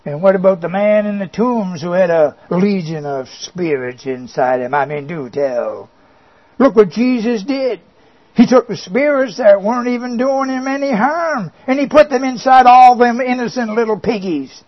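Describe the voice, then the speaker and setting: A sly, boastful devil coaxes and mocks in a high, reedy voice, as a man acts him out. He wheedles, sneers and chuckles at his own jokes. The recording sounds dull and lo-fi. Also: normal speed. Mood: mocking. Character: sly boastful male devil, mocking and coaxing. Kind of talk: comic monologue performance.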